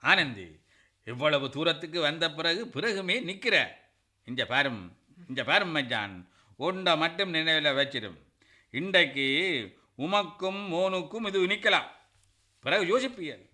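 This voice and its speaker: An actor with a Sri Lankan Tamil accent plays a bitter middle-aged brother-in-law in a radio drama, scornful, forceful and threatening. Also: male voice, on the high side, lively intonation, measured pace, pauses often, exaggerated voice. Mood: angry.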